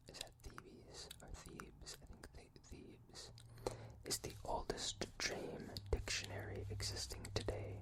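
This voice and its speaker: A person whispers through an ASMR reading without ever voicing the words. The delivery is very slow and careful, broken into short phrases with pauses between them. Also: poor audio. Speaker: reader.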